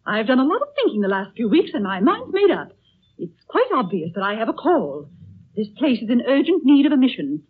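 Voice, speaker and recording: A righteous, stubborn missionary in a vintage radio play. Her highish voice is proper and emphatic with wide swoops, on a tinny, hissy recording. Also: sing-song, a little fast, husky. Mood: resolute. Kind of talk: 1930s radio drama acting.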